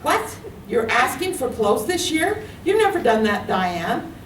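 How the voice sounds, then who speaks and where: A woman's voice: on the high side, sing-song, a little slow, a little hiss or hum. A woman voicing a mother who is surprised by what her daughter asks for, in a raised, questioning tone. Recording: echoey room, far from the mic.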